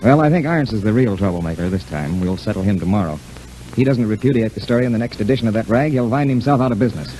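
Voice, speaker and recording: A man's voice: fairly low pitch, quick pace, warm tone, natural voice. An actor playing a stern, methodical male prosecutor in a 1940s radio serial. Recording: noisy old 1940s radio recording.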